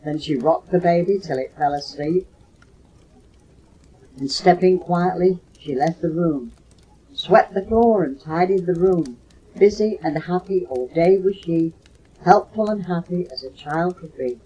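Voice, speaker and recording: An older woman with a husky voice, reciting poetry slowly and expressively on a low-fidelity home cassette recording. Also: warm tone, muffled recording. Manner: slow, measured, dramatic storytelling delivery. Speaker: mother reading poems for her children.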